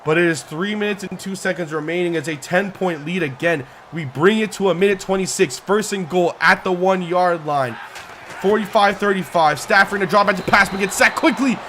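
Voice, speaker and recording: A young man with a high-pitched voice calls a football video game like a broadcast announcer. His voice jumps up on big plays and he sounds worn and hoarse. The game audio plays clearly behind him. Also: a little fast, slight background noise. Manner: excitable, pitch climbing on big plays, yard-line countdowns. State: losing his voice. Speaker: gaming YouTuber doing play-by-play. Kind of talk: sports-style play-by-play commentary over a video game.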